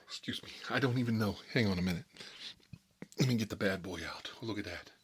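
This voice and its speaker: A man playing an exasperated mob boss in a comedy monologue, rambling in tough-guy slang and repeating himself, with a husky voice. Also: lowish voice, brisk, slight background noise, natural voice. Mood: laid-back, rambling. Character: exasperated mob boss telling family stories. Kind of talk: rambling comedic storytelling in character, between whispers and outbursts.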